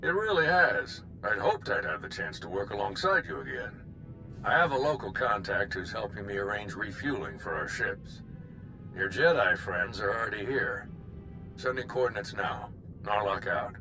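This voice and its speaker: An actor playing a courteous, dutiful military vice admiral of a space fleet in a video game. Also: male voice, lowish voice, expressive, moderate pace. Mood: formal, welcoming. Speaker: naval officer, fleet commander. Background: music.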